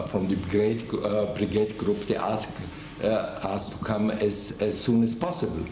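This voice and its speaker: An older man giving an oral history interview, speaking haltingly with many restarts and fillers. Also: fairly low pitch, gravelly, reverberant, noisy background. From Germany. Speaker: veteran interviewee.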